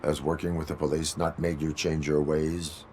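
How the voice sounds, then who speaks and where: An actor playing a stoic, dutiful cyborg police officer in a video game, with a stiff, deadpan, formal delivery. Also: male voice, rumbling low, moderate pace, a little hiss or hum. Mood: flat, businesslike. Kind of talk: dramatic video game dialogue.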